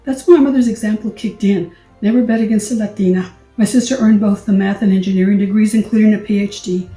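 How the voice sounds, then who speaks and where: Brief: woman in her 60s from El Paso, earnest award speech, mellow tone, echoey room heard from afar, faint music. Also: mid-range voice, fairly even intonation, quick pace. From El Paso, Texas. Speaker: award recipient. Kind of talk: prepared acceptance speech. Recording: echoey room, distant mic, soft music.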